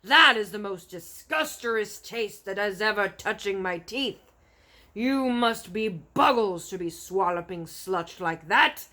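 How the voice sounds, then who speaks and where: Lady doing a big nasty man-eating giant from a kids book, slow loud bellowing, shouty bully, grammar all wrong. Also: medium pitch, lively intonation. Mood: furious, insulting. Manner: roaring, shouting, gloating with mangled grammar.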